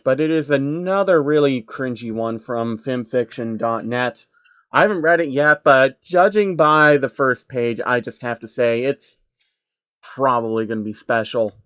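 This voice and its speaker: A man's voice: mid-range voice, fairly bright tone, dry recording, muffled recording. A man reading a story aloud in an informal, wry way, with amused casual asides. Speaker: narrator reading fan fiction aloud. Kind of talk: casual commentary and amateur storytelling.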